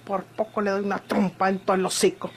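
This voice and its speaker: A woman's voice: mid-range voice, level tone, fast talker, breathy edge. An actress playing a feisty, blunt ranch woman with a rural Mexican accent and rustic speech, in an old radio drama. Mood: fiery, scornful. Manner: rustic, sharp-tongued speech. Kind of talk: Mexican golden-age radionovela acting.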